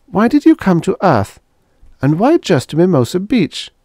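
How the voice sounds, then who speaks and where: A man voicing an anxious, quick-minded small-town woman. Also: high voice, very animated, a little mellow, dry recording, studio quality, voice pushed up. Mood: urgent. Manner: urgent, raised voice.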